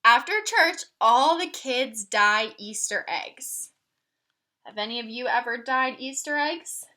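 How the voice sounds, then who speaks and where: Bright, higher-pitched woman reading to children. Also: slow. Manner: instructive, asking listeners questions. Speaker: teacher reading a picture book aloud to children. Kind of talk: storybook read-aloud with explanatory asides.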